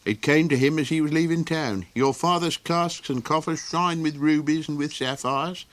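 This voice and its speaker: A man's voice: on the high side, no echo, pitched above the performer's own voice, close to an everyday voice. A man voicing a fretful, kindly creature who rambles with ums and chuckles in a storybook reading. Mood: musing. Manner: halting, full of ums and chuckles.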